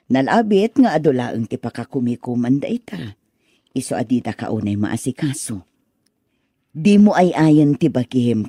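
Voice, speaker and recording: A woman playing a gentle, concerned older aunt in a radio drama, consoling and softly chiding. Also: a bass voice, slightly rough, no echo, studio quality, exaggerated voice. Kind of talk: Filipino radio drama acting.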